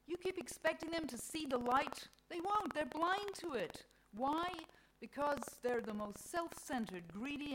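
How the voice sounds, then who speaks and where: Woman doing fed up mother nature from a storybook, scornful n snappy, kinda loud and raised, noisy audio. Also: high-pitched, pitched above the performer's own voice. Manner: sharp, impatient, scolding. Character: exasperated, scornful Mother Nature, sharp-tongued older goddess. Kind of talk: dramatic reading of satirical storybook dialogue. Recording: clearly noisy live recording.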